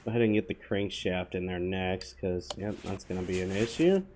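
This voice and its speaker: A man with a slightly hoarse voice, mid-pitched and easygoing, talks his viewers through a game in a loose, meandering way. Faint hiss and clicks sit under his voice. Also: fairly even intonation, a little fast, darkish tone. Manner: rambling, offhand, full of fillers. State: hoarse from a cold. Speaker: gaming channel host. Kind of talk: casual gameplay commentary.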